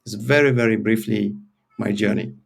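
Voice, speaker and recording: Gravelly, lowish-voiced male professor. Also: steady tone, moderate pace. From born in Eritrea, grew up in Sweden. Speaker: professor, interview guest. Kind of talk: explaining his career in an interview.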